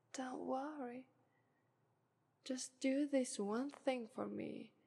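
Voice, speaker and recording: A woman's voice: high voice, a little mellow, full character voice. A woman voicing an arrogant, seductive female demon of lust in a whispered ASMR roleplay, with condescending, teasing taunts. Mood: coaxing. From Italy. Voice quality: breathy whisper, slow and teasing. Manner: condescending, purring "ara ara" taunts. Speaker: voice actress.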